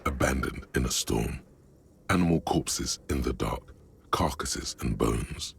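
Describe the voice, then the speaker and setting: A man voicing a solemn, brooding inner-voice narrator in a video game, speaking in measured, literary scripted narration. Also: exaggerated voice. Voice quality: deep, gravelly.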